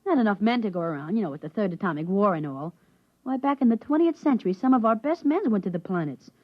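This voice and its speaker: A woman's voice: mid-range voice, lively intonation, fast talker, natural voice. A woman playing an eager 13-year-old boy. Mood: eager, cheeky. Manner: boyish, eager and wide-eyed. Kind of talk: 1950s radio drama acting. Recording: muffled 1950s radio broadcast.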